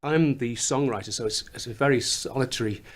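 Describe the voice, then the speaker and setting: A songwriter with a slight Liverpool accent and a fairly high voice, chatting in a studio interview and laughing often as he explains how he writes songs. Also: fairly quick. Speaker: songwriter and musician. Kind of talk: radio interview chat. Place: recording studio.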